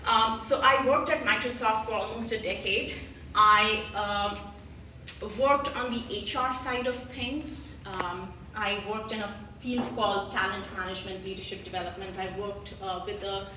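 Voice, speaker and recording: A woman founder giving a live startup pitch, talking in a hesitant way with many fillers. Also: pushed and bright. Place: large meetup venue room. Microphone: livestream phone mic across the room. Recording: echoey room, heard from far off, noisy.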